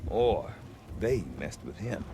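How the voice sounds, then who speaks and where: An actor playing a wry, sceptical outlander in a game, talking in a sardonic, folksy, colloquial way. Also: male voice, sits low, lively intonation, takes it slowly, noisy background, full character voice. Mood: musing, sardonic. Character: wry, sceptical outlander man, weary of local customs. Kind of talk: conversational game cutscene acting.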